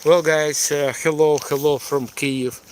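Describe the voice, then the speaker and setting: A middle-aged man from eastern Ukraine, a Russian speaker, answering viewer questions on a live stream in halting English. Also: on the high side, steady tone, a little hiss or hum. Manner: halting, searching for words in a second language. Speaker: tour guide and live stream host.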